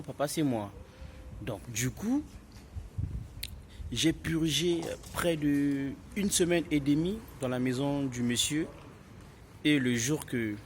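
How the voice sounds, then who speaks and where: A man with a Congolese French accent shares his story as a casual vlogger. His voice sits at an average pitch, and he drives points home by repetition, speaking straight to his listeners like friends. Noticeable ambient noise runs behind the recording. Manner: emphatic, repetitive, addressing listeners as buddies. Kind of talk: casual personal storytelling to camera. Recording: clearly noisy ambient background.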